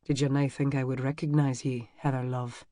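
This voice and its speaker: A narrator voicing a charming, amused Scottish man with a deep, rumbling brogue, speaking softly and teasingly in an audiobook. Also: level tone, no echo, studio quality, natural voice. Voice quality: deep, low rumbling murmur. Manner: soft, teasing and unhurried. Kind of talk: audiobook character voice.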